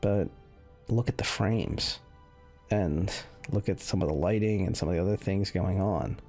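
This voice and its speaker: Low, gravelly-voiced man commentating. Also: measured pace, darkish tone. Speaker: gaming video host, commentator. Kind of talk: casual off-the-cuff commentary over game benchmark footage. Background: music under the voice.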